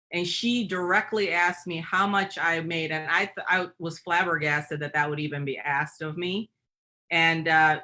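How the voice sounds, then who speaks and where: A woman's voice: lowish voice, bright, forceful tone. A middle-aged woman with a Michigan accent, a guest telling personal stories in reflective talk with frequent fillers. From Michigan, USA. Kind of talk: personal storytelling in interview.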